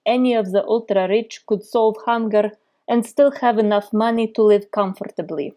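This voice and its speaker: A woman's voice: medium pitch, moderate pace, mellow, natural voice. A woman putting on a Russian-accented FBI chief. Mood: declaiming. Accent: put-on Russian accent. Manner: stiff, declaiming reading of a note. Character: tough Russian-born woman FBI unit leader, 45. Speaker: player voicing her character.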